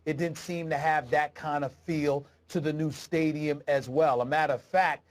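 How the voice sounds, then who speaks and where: A man's voice: a little high, steady tone, normal speed. Congested man making a sports point. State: congested, sniffling. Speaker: sports debate commentator. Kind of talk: sports debate.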